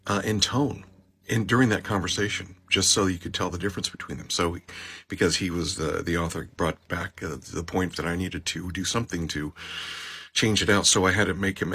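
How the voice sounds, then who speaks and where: A man who narrates audiobooks, talking casually as he explains his voice acting work, with a gravelly, husky voice. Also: sits low, bright, forceful tone.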